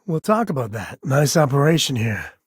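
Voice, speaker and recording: Rugged male space explorer for a video game: mid-pitched, husky, plainspoken, folksy, protective. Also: sing-song, normal speed, no echo, clean studio sound. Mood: easygoing, wry. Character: rugged space cowboy explorer, wry, loyal, protective adult man. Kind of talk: video game character voice lines.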